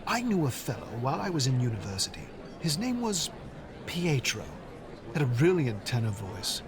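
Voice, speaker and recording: A man's voice: mid-range voice, very animated, moderate pace, crowd noise, lightly acted. An actor playing an eager, polite young Englishman who talks in a rambling, enthusiastic way. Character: eager, polite young man, opera fan.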